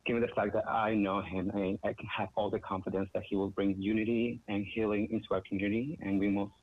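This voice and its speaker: A man from El Salvador, calling in to give an earnest, somewhat halting public comment. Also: lowish voice, little pitch movement. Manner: earnest, repetitive, slightly halting. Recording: tinny phone line with faint noise.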